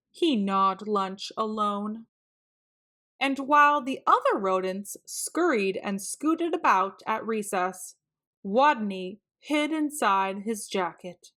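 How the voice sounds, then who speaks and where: A woman reads a picture book aloud for children. Her voice is bright and a little high, and she takes her time. She lands on key words with deliberate, dramatic emphasis. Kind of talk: expressive storybook read-aloud narration.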